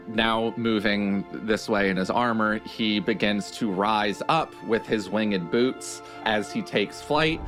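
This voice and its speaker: Male tabletop gamer, fairly deep voice, improvised storytelling with faint music underneath. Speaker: tabletop roleplay player. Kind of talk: improvised character narration in a tabletop game.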